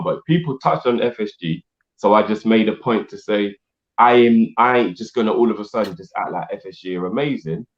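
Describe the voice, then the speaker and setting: A man co-hosting a casual fan podcast, emphatic and defensive, repeating himself to make his point. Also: on the low side. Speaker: co-host. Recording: dull lo-fi stream audio.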